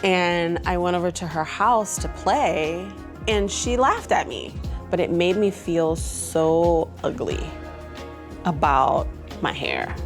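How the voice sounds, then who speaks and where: A woman from Trinidad and Tobago speaking candidly and conversationally about herself in a reflective documentary interview. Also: sits low. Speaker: aesthetician and entrepreneur. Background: background music.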